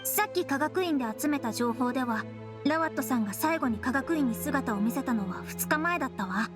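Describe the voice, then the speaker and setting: A woman's voice: high voice, expressive, fairly quick, over music. A woman playing a capable, confident young female officer in a video game, dutiful and matter-of-fact, with feminine phrasing. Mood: serious. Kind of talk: Japanese game voice acting.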